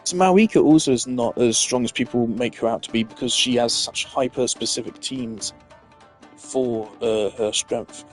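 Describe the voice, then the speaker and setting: A man's voice: mid-range voice, normal speed, faint music behind. A man streaming a video game, giving casual, opinionated commentary full of filler words.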